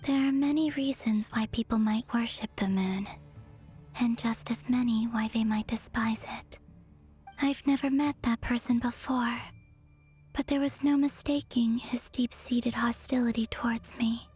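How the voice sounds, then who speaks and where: Very breathy, solemn moon goddess. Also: female voice, a little high, faint music behind. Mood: solemn, calm. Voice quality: breathy, whispery. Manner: calm, measured, formal. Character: gentle, solemn, self-sacrificing young moon goddess. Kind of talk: video game character acting.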